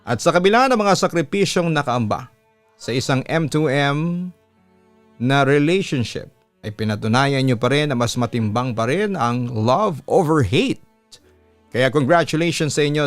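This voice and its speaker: A Filipino man narrates a scripted personal story as a radio announcer would. His voice sits at a middle pitch. The sound is dry, with music playing behind him. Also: no room sound. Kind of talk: scripted narration of a listener's letter. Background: background music.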